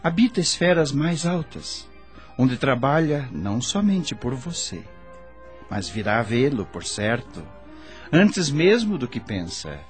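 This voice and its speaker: Slow, expressive spirit mentor. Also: male voice, medium pitch, soft background music. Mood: reassuring. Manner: didactic, formal, measured diction. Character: patient, kindly male spirit guide and mentor. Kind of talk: radio drama acting.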